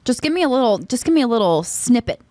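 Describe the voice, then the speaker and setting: A woman's voice: a little high, sing-song, fast talker, mellow, no echo. A young woman co-hosting a podcast, playful and teasing in casual chat. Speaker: podcast co-host.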